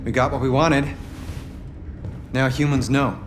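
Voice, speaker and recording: A man plays a sober android rebel in a video game. He speaks seriously and deliberately, as a cautious strategist. Clear ambient noise sits behind the voice. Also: mid-range voice, steady tone, lightly acted. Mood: grave, cautious.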